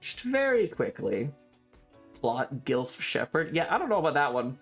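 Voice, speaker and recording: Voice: medium pitch, very animated, moderate pace. Rambling, wry streamer with music behind. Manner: rambling, wry and jokey, mildly crude. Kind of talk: casual livestream chat. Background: background music.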